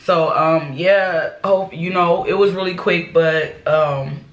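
A woman's voice: lowish voice, steady tone, slow. A young woman with a stuffed-up nose, chatting casually with her viewers as a reaction channel host, from a small bedroom. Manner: chatty, repeats "y'all" and "you know". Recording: echoey small room.

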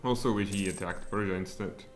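A man in his thirties with a Czech accent, streaming a strategy game and thinking aloud, with sudden excited outbursts. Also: low voice, fairly quick. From Czech Republic. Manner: mutters thoughts aloud, then bursts out excitedly. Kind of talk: live strategy game commentary. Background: faint music and keyboard typing.

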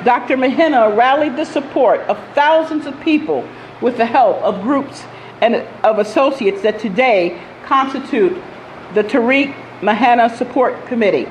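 A woman's voice: fairly high pitch, moderate pace, a little hiss or hum. An older woman reading a speaker's introduction from notes at a podium in a community center hall. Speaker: moderator. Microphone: podium mic.

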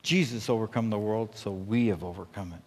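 A man's voice: on the low side, a little fast. A middle-aged American man preaching a conversational sermon as a pastor, earnest and emphatic, often checking in with his listeners. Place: church stage at a pulpit, indoors. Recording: echoey hall with long reverb.

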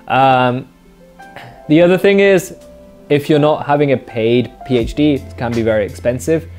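A man's voice: mid-range voice, normal speed, darkish tone, faint music behind. A young man in his twenties from England, answering viewer questions in a rambling, casual way with lots of fillers and dry jokes, seated on a living-room sofa. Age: 20s. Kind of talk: casual Q&A monologue. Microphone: mic off-camera, seated facing camera.

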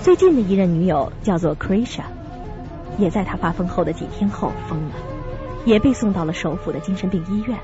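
A woman's voice: lowish voice, animated, a little fast. A woman giving scripted narration for a radio drama. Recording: dull lo-fi sound, music behind.

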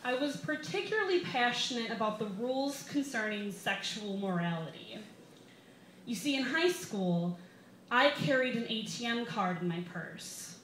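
A young American woman reads a funny personal essay in a live setting. Her voice is fairly high and bright, with deliberate, wry emphasis. The recording is roomy, with a little background noise. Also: animated. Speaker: stand-up comedian reading an essay. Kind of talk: comic personal essay read aloud to a live audience. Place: small brick-walled bar, indoors. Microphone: stand microphone, camera recording.

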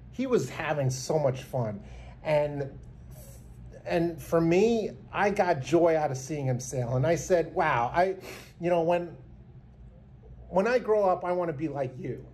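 Man, 50s, sincere rambling vlogger, slightly high warm voice, close phone mic, faint hiss. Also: lively intonation, a little slow. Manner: earnest, rambling, many restarts. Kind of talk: heartfelt tribute talk to camera. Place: small indoor room. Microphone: phone camera held close.

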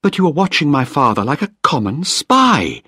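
Man putting on a frightened defiant young woman, high pleading voice, storybook reading, dry close sound. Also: huge pitch swings, a little slow, slightly rough, no echo, full character voice. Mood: angry. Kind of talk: audiobook character voice.

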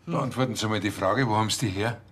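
A man's voice: fairly low pitch, fast, a bit bright, natural voice. Earnest Bavarian policeman played by a man. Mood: matter-of-fact. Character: earnest, naive male small-town policeman. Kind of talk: TV crime comedy acting.

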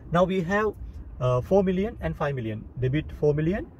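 A man with a slightly high voice gives an informal lesson. He works through it step by step, pausing and repeating himself. There is some vehicle noise behind him. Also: lively intonation, darkish tone, dull audio. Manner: halting, repetitive, step-by-step. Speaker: accounting tutor. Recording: noisy car interior with road rumble.

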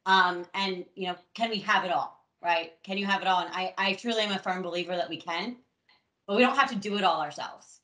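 A woman speaks reflectively on a panel call, in a casual tone. Her voice is low and bright. She sounds as if she is in a roomy, echoing space. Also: a little fast. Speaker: panelist, forensic accountant.

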